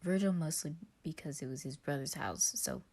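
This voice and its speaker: A woman's voice: low voice, moderate pace, mellow. A woman reading a scripted story aloud as narrator. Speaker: podfic reader.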